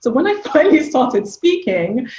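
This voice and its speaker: A woman's voice: high-pitched, very animated, moderate pace. Reflective English-accented woman, echoey sound. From Nigeria. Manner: reflective, open, warm storytelling with soft laughs. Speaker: podcast guest. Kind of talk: candid life-story interview talk. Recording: echoey room, dull lo-fi sound.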